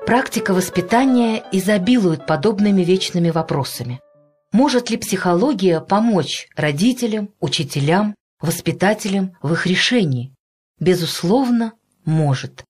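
A woman reads a nonfiction audiobook in a measured, articulate, instructive way, as a scripted narration. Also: mid-range voice, expressive, darkish tone, faint music behind.

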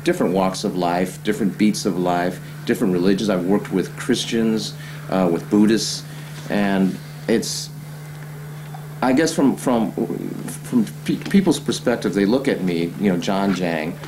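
A man's voice: fairly low pitch, measured pace. A man in his forties answering interview questions in a living room, reflective and hesitant with fillers. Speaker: musician interviewee. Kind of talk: seated interview. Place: living room, seated in armchair. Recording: noisy home video recording.

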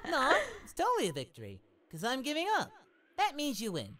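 A man's voice: very high-pitched, huge pitch swings, a little fast, fairly bright tone, full character voice. An actor playing a carefree, dim hero father in a parody anime dub, naive and breezy in manner.

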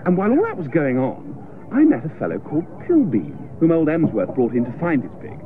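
An actor playing an earnest, lovelorn young upper-class English gentleman in a radio comedy, plaintive and politely understated. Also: a little high, wildly swooping pitch, fairly quick. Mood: explanatory. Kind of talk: radio comedy drama acting. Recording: muffled, noisy radio play recording.

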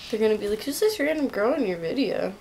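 A woman's voice: fairly high pitch, expressive, fast, background noise. Giggly, shy young woman. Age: young adult. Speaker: girlfriend. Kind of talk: casual chat. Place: small bedroom indoors.

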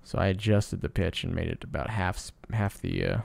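A man presenting a casual sound design tutorial in a low-key, explanatory way. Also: fairly even intonation, brisk, vocal fry, a little mellow, no room sound. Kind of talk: casual screen-recorded tutorial narration.

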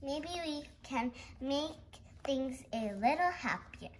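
A young girl reading a picture book aloud haltingly, with giggly, exclaiming casual asides. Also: high-pitched, expressive. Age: child. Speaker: host of a read-along video.

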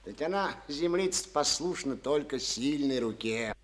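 A man's voice: medium pitch, expressive, unhurried, a bit bright. An actor playing a resentful, stubborn collective-farm chairman in a studio radio play, speaking in a folksy way full of proverbs. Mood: bitter.

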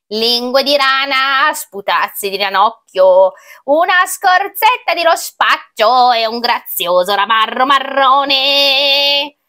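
A woman voicing a boastful, gloating storybook witch with a theatrical, drawn-out, taunting delivery. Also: a little high, lively intonation, sharp tone, full character voice. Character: gloating, boastful female witch cooking disgusting soups.

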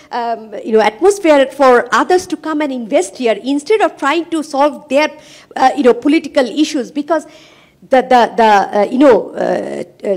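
A woman's voice: sits high, a little mellow. A middle-aged woman, a professor speaking on a roundtable panel, emphatic, with many fillers, into a handheld mic in a conference hall. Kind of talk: roundtable discussion.

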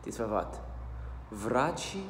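Slow halting American man, echoey room. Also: on the low side, big pitch swings, fairly bright tone, a little hiss or hum. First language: English. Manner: slow, halting, repeats words to practice. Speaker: language learner. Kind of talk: self-study vlog, reading phrases aloud. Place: small room indoors. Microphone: laptop webcam at desk. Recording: roomy echo with long reverb.

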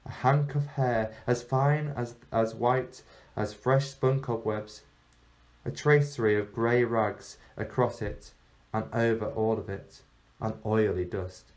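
A man reading a story aloud like a teacher, in measured phrases with deliberate pauses. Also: on the low side, steady tone, a little mellow, poor audio. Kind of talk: storybook narration.

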